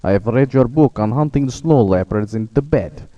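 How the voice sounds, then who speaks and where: An actor playing a courtly aristocratic general in a radio drama, formal and gracious while giving orders. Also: male voice, medium pitch, lively intonation, moderate pace, dull audio, natural voice. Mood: courtly, commanding.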